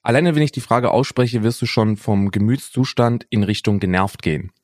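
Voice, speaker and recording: A man's voice: lowish voice, normal speed, darkish tone, no room sound, studio quality. A young man with a German accent co-hosting a podcast in casual, slangy, emphatic chat, often repeating phrases. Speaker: podcast co-host. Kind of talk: casual unscripted podcast banter.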